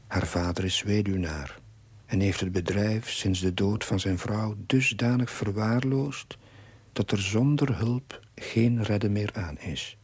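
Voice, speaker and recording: An actor playing an earnest village chaplain, narrating his diary in a measured, confessional way with a husky, breathy voice. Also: lowish voice, dry recording, exaggerated voice. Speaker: narrating main character. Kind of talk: scripted diary narration in a TV period drama.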